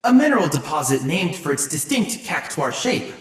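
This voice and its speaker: An actor voicing an earnest, excitable young android boy researcher in a video game, with precise, formal, polite diction. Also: medium pitch, moderate pace, husky, no room sound. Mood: concerned, analytical. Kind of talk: video game character voice lines.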